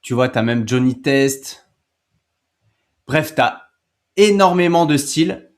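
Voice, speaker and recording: A man's voice: mid-range voice, animated, slow. A man hosting a tutorial in a casual, explanatory way, talking informally and directly to the viewer. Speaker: tutorial host.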